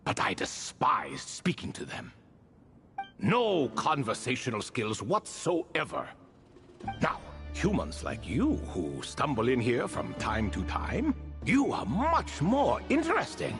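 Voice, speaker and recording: A wry, stately ancient spirit drifts between lofty recollection and dry mockery in a video game scene. His pitch swings widely from low musing to sharp amused peaks. Soft music plays behind him. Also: huge pitch swings, slow, exaggerated voice. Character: ancient proud male spirit retainer bound in a relic. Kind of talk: video game cutscene dialogue.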